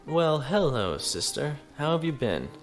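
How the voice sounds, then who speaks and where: A man's voice: fairly high pitch, sing-song, unhurried, soft background music. A man playing a dutiful, courteous young naval lieutenant in a science fiction audio drama. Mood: warm. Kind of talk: audio drama acting, log narration.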